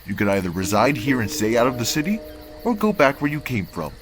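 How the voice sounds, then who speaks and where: A man's voice: medium pitch, big pitch swings, fairly quick, faint music behind, close to an everyday voice. A man playing a cold, disdainful council envoy, speaking formally and condescendingly in a comic dub. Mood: cold, dismissive. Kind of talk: amateur comic dub acting.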